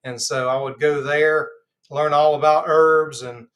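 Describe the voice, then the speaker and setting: A man's voice: on the high side, unhurried. Stuffy-nosed Southern man hosting a livestream. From Georgia, US. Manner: relaxed and rambling, many fillers. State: stuffed up nose. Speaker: host of a live health channel. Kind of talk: casual livestream chat.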